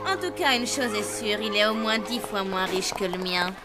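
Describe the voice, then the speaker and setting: A woman's voice: mid-range voice, normal speed, lightly acted. A woman voicing a smug, taunting rich schoolboy who shows off, in a cartoon. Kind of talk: animated cartoon dub acting. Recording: music under the voice.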